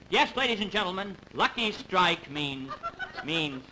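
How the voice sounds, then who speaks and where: An American man playing a bumbling, obedient son learning to be an announcer, reciting haltingly in a television comedy. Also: high-pitched, animated, exaggerated voice. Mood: nervous, earnest. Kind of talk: 1950s television comedy sketch acting. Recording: noisy 1950s television broadcast.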